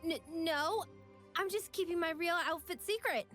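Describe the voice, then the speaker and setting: A woman's voice: very high voice, normal speed, a bit bright, soft background music. An actress playing a cheerful, kind young female pop star in a video game, with a bubbly, earnest way of talking. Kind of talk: game voice lines.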